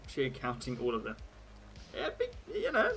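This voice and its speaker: A man's voice: mid-range voice, wildly swooping pitch, a little fast, sharp tone. A young British man with an occasional Australian twang, hosting a livestream and chatting casually with viewers, thinking aloud with lots of "like"s. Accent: British, with occasional Australian words. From Britain. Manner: chatty, laughs at his own jokes, thinks aloud with many "like"s. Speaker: livestream host.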